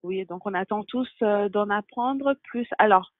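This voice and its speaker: A woman hosting an interview podcast, asking questions conversationally with halting, repeated words. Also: mid-range voice, dry recording, narrow, tinny audio. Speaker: podcast host.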